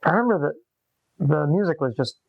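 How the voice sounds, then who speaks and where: A man's voice: a little high, lively intonation, creaky, no room sound, dull audio. A man in his late forties with a scratchy voice, unhurriedly telling his life story in an interview. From Massachusetts. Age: 40s. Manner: unhurried, reflective, with pauses. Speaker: interviewee, musician. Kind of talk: relaxed conversational storytelling. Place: upstairs room of an apartment, at a desk.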